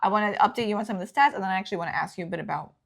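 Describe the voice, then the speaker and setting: A young woman hosting a casual news chat, chatty and lively, quick to laugh. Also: mid-range voice, gravelly. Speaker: host.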